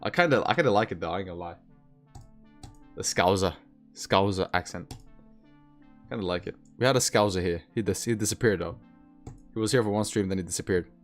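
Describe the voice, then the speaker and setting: A man's voice: sits low, fairly quick, pauses often, faint music behind. A young man from Sweden, with roots in Eastern Europe, who learned to speak with an American accent. He hosts a trading livestream, chatting casually with viewers, using slang and repeating his phrases. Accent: learned American English. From Sweden, originally Eastern Europe. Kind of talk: casual live-stream chat reading comments.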